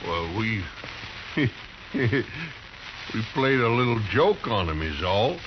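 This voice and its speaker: A man's voice: on the low side, sing-song, slow. An actor playing a nervous, sheepish sidekick drifter in a radio western, hesitant and repeating his words. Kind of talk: 1950s radio western acting. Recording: hissy, muffled old radio recording.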